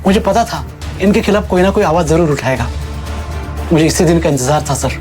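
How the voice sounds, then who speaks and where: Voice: medium pitch, lively intonation, fairly quick, a little mellow, lightly acted. A performer playing an earnest, newly promoted male office employee in an audio drama, deferential and eager. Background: faint music.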